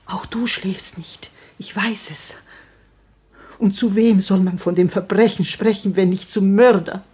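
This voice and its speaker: Husky, slow, grieving grand duchess. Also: female voice, medium pitch, fairly even intonation, darkish tone. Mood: anguished. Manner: solemn, intense, mournful. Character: grief-stricken widowed grand duchess, proud and desperate. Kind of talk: 1950 German radio play acting.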